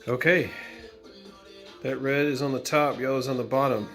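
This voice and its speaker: A man's voice: mid-range voice, steady tone, moderate pace, a bit bright, soft background music. A man hosting a casual hands-on repair walkthrough in a workshop, chatty and thinking aloud as he goes, with some playful repetition of words. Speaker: DIY video host. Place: workshop or garage, cold day.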